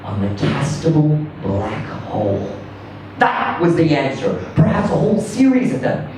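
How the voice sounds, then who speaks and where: A man reading a first-person short story aloud in a conversational yet theatrical way, with deliberate pauses. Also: a little high, huge pitch swings, a little mellow. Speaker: reader performing a short story. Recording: roomy, echoing, distant mic with faint noise.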